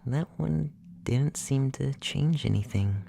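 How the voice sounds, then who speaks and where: A performer playing a calm, clinical, gently curious young effeminate male alien abductor in a soft, breathy, often whispered ASMR roleplay, speaking slowly and soothingly. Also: mid-range voice, slight background noise. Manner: slow, soothing, softly spoken, matter-of-fact.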